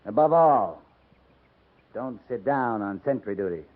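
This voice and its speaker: An actor playing a stern, fair-minded American cavalry captain in a 1950s radio drama, speaking in a clipped, commanding way. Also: male voice, medium pitch, animated, measured pace. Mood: stern reprimand. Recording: muffled, noisy 1950s radio recording.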